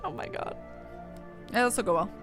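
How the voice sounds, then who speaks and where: Higher-voiced woman streaming a game over music. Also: very animated, moderate pace, a bit bright. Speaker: streamer reading game dialogue aloud. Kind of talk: game playthrough commentary.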